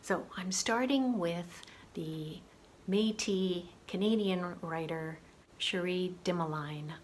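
A woman hosting a book channel, talking through her recent reads in a relaxed, musing way with frequent pauses. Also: fairly low pitch, a little hiss or hum. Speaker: booktube host. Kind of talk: casual book review.

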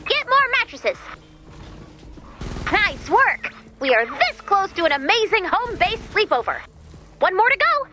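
A woman voicing a cheerful, chatty, excitable robot helper in a video game, with a perky, quirky delivery. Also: extremely high pitch, a little slow, long pauses, over music, exaggerated voice. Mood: bubbly, grateful. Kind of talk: video game mission dialogue.

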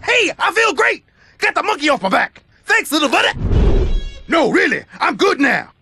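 An actor playing a big, good-natured, dopey animal brute in a game cartoon, with a gravelly voice. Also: male voice, extremely high pitch, very animated, normal speed, music in the background, full character voice. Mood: frantic pleading. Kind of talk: animated video game cutscene acting.

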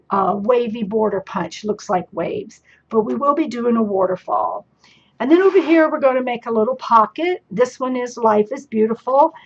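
Woman, craft tutor, husky and a bit hoarse, casual step-by-step chatter, dull lo-fi tabletop recording. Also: fairly high pitch. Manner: chatty, explaining step by step with fillers. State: slightly hoarse. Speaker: crafting teacher. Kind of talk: casual show-and-tell demonstration. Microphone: camera overhead on tabletop.

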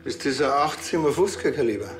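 An actor with a Bavarian accent playing a chatty small-town policeman, deadpan and colloquial. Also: mid-range voice, a little fast, soft background music, lightly acted. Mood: probing. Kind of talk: TV crime comedy acting.

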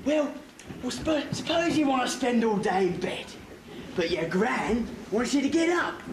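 High, lively bumbling henchman played by a woman. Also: a little slow, distant mic. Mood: explaining. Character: bumbling, know-it-all comic gangster henchman. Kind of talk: amateur pantomime double act. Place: stage in a hall, recorded from afar. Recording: echoey hall, noisy old camcorder tape.

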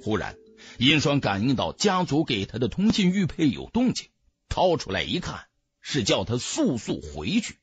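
A man narrating an audiobook in a dramatic, theatrical, expressive storyteller's manner. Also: mid-range voice, normal speed, husky, lo-fi sound.

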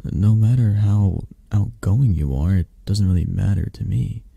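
Very deep, mellow, tender dragon boyfriend played by a man. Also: creaky, breathy edge, dry recording, exaggerated voice. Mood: gentle, reassuring. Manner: soft, teasing, reassuring, hesitant pauses. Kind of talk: intimate ASMR roleplay. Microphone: close, dry microphone.

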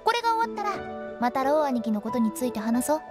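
A woman voicing a brisk, playful, confident young female funeral parlor director in an anime-style game. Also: high voice. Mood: confident, commanding. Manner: animated, confident, bossy. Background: background music.

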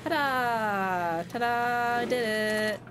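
A woman's voice: fairly high pitch, takes it slowly, very noisy. A woman in her twenties chatting casually with her chat while playing a game at home. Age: 20s. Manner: chatty, self-deprecating, lots of fillers. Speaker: streamer. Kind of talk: live game stream chat.

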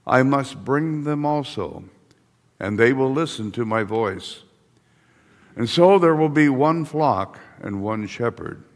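An older male pastor reads the gospel with unhurried, deliberate phrasing, each line given plenty of space. His voice carries through the sanctuary, trailing into a long church reverb. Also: mid-range voice, a bit bright. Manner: slow, deliberate liturgical reading. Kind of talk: reading the gospel in a service.

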